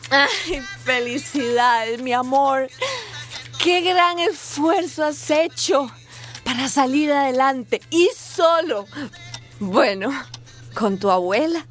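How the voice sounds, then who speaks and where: A devout, loving mother with a high voice and a slow, emotional delivery. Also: a bit bright. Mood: tender, wistful. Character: tender, devout mother celebrating and praying alone for her faraway son. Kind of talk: radio drama acting. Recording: music behind the voice.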